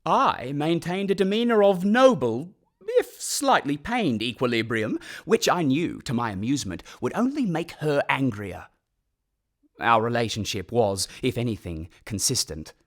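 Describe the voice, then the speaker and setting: A man's voice: medium pitch, big pitch swings, unhurried. An actor playing a pompous, dryly ironic elderly male composer narrating his memories in a radio play. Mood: wry, reflective.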